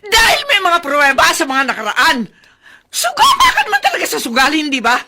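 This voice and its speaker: A woman playing an angry, accusing wife with a shrill, sarcastic delivery in a radio drama. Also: wildly swooping pitch, a little fast, very noisy. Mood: furious, accusing. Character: angry, nagging wife accusing her gambler husband.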